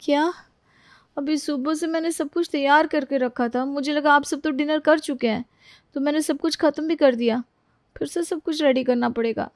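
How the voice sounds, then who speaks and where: A woman's voice: sits high, steady tone, normal speed, long pauses, a little mellow, no echo, clean studio sound, voice pushed up, close to an everyday voice. A woman voicing an overworked, exasperated young daughter-in-law in a storybook, complaining in a whiny, dramatic way. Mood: dismayed. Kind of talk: acted Hindi audio storybook dialogue.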